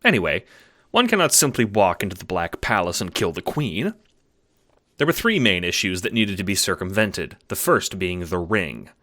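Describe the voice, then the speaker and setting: A man's voice: sits low, big pitch swings, normal speed, bright, forceful tone, full character voice. A man playing a precise, erudite alien troll who narrates an audio drama in a formal, pedantic manner. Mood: measured, pedantic. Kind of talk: scripted audiobook narration in character.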